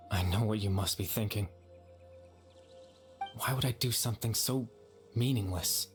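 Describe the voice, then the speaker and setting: An actor playing the gentle, regretful lingering consciousness of a dead young man in a video game, soft-spoken and measured. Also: lowish voice, music in the background. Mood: wistful, regretful.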